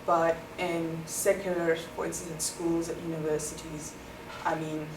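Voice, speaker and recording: A woman's voice: deep voice, little pitch movement, normal speed. Young Afrikaans-speaking woman from South Africa, explanatory interview answers, distant mic, noisy. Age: young adult. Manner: thoughtful, explanatory, with many ums. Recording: echoey room, far from the mic, noisy.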